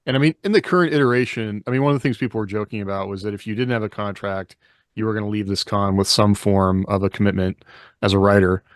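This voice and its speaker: Guy with a lowish voice talking shop on a podcast, dry close sound. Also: fairly even intonation, quick pace, dry recording. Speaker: podcast co-host. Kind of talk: casual conversational discussion.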